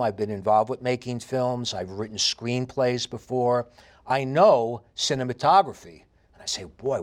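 A man in his fifties with a heavy New York accent, telling his life story in an interview with animated emphasis. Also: medium pitch. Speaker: interview guest. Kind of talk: candid conversational interview.

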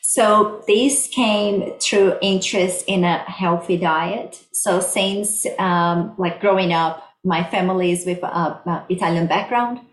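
A woman's voice: lowish voice, steady tone, slow pace, few pauses. A middle-aged woman from Brazil with a Brazilian accent, explaining her research plainly as an interview guest. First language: Portuguese. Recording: roomy, echoey sound.

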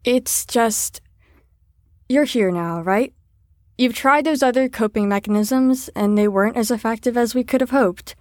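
A woman's voice: on the high side, a little slow, darkish tone, dry recording, clean studio sound, close to an everyday voice. A woman in an audio drama playing an earnest, caring facility staffer who talks in a reassuring, sincere, slightly rambling way.

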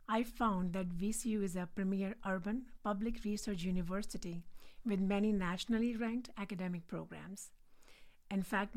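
A woman in her forties from India, speaking English with an Indian accent as a guest on a podcast, earnest and measured. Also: mid-range voice, darkish tone. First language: not English. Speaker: guest, nurse anesthetist. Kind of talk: podcast interview.

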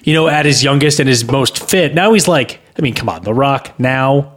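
A man's voice: mid-range voice, normal speed, a bit bright. A man co-hosting a comedy podcast, talking casually in an insistent, defensive manner. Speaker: podcast co-host and amateur screenwriter. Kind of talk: casual comic banter.

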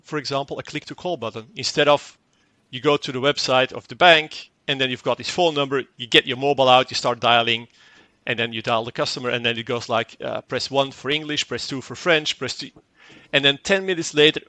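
A man whose first language is Dutch explains a technical product in an interview. His voice is bright and forward, and the sound is dry and close. He talks fluently but doubles up words and acts out short exchanges. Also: mid-range voice, fairly quick, no echo. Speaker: tech company guest.

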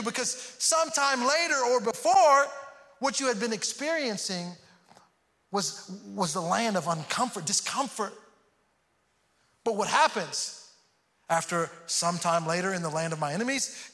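A man's voice: high voice, bright, forceful tone. A man from Houston preaching a sermon, earnest and prone to repeating words as he starts a thought. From Houston, Texas. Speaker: pastor. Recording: long hall reverb.

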